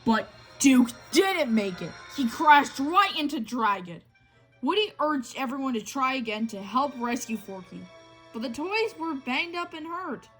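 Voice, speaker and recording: High, very animated woman reading a story. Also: measured pace. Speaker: storybook reader. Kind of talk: scripted read-aloud narration of a children's story. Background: music.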